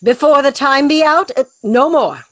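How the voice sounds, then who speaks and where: Woman doing bossy sorceress master in a shakespeare audio play, theatrical, big pitch swings, really dry close sound. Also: animated, fairly bright tone, no room sound. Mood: stern, rebuking. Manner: theatrical, deliberate, scolding. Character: commanding, imperious sorceress master, quick to scold. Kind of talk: Shakespearean radio play acting.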